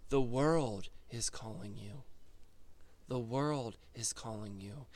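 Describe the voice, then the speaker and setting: A man hosting a reflective spoken musing, who reads a poem in a slow, contemplative way with long pauses. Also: mid-range voice, darkish tone. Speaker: host.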